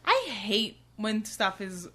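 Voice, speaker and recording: A woman's voice: medium pitch, very animated, slow pace, a loud crowd. A woman co-hosting a podcast in casual banter, blunt and sweary with sarcastic, exaggerated reactions. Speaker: podcast co-host. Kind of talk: casual bantering book recap chat.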